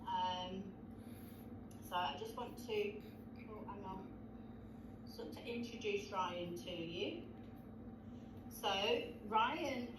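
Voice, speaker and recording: A woman's voice: mid-range voice, slow pace, pauses often. A woman hosting an online interview, introducing her guest in a chatty, friendly, rambling way. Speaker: host. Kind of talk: casual webinar hosting. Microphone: video call. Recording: distant, noisy, echoey call audio.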